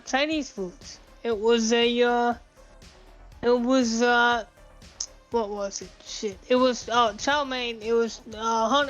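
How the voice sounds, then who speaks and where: A woman's voice: a little high, lo-fi sound. A woman co-hosting a podcast, telling a rambling, profane story in a casual chat. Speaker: podcast co-host.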